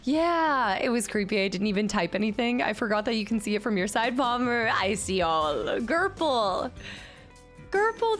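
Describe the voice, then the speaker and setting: A young adult woman streaming, chatting casually with her viewers and reading their names and gifts aloud. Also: high-pitched. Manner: animated, chatty, reads chat names aloud. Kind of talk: casual chat with live chat.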